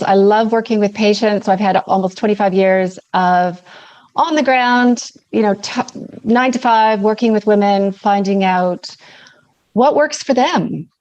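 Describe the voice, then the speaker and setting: A middle-aged woman from Canada with a mixed Canadian and New Zealand accent, a naturopathic doctor explaining calmly in a podcast interview. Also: mid-range voice.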